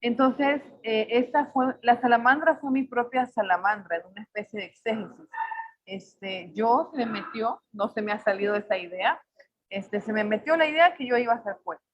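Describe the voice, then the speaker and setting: A woman in her forties with a Salvadoran accent softened by Mexican tones, reflecting aloud as she finds her words. Her voice sits on the high side and comes through a slightly muffled video call line. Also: normal speed. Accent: Salvadoran Spanish mixed with Mexican. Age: 40s. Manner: thoughtful, searching for words with frequent fillers. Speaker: poet on a panel. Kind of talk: reflective personal talk.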